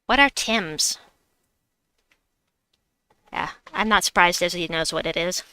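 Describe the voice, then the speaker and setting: A woman's voice: fairly low pitch, animated, takes it slowly, sharp tone, clear, resonant tone. A woman with a slight New York accent, streaming a game and chatting with her viewers as she plays. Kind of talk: casual live-stream chat while gaming.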